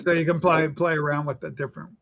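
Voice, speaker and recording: A man's voice: fairly high pitch, fairly even intonation, fast, vocal fry, a bit bright, muffled recording. A man hosting a casual comedic livestream chat, exclaiming often and steering the talk to his guests. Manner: exclamatory, says "holy smoke" a lot. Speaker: livestream host.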